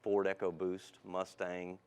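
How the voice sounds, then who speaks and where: A man's voice: deep voice, monotone, takes it slowly, a little mellow, smooth. A man speaking as a guest technical specialist, explaining things in a polite, matter-of-fact way in an interview. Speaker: guest car tuning specialist. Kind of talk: plain product explanation in an interview.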